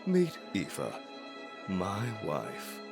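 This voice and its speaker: Very slow, grandiose tycoon. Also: male voice, low-pitched, wildly swooping pitch, gravelly, over loud music, full character voice. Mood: proud, boastful. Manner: grandiose, savoring each phrase. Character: showy, self-important wealthy businessman father. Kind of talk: audio drama acting.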